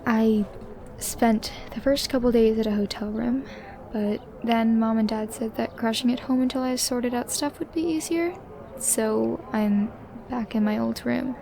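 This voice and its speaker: A woman plays a playful, bossy little sister in an audio drama. The voice sits high. The delivery is bantering and conversational, with a temper that flusters easily. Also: steady tone, a little slow, vocal fry, dark tone. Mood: subdued.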